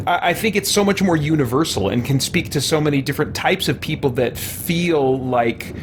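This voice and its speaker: A middle-aged man giving casual live let's-play commentary, musing and thinking aloud in halting asides. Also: on the high side, background noise. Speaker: game streamer. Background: game music.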